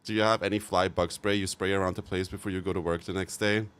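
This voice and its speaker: Male streamer, lowish pitch, loose animated chat with his viewers, cursing and laughing freely. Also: quick pace, clear, resonant tone. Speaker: live streamer. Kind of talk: casual chat with live viewers.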